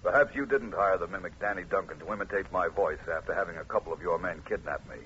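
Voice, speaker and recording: A man acting a cool, determined detective in an old radio drama, with measured, menacing, dryly confident delivery. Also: low-pitched, fairly bright tone. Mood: controlled anger. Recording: muffled, noisy old radio recording.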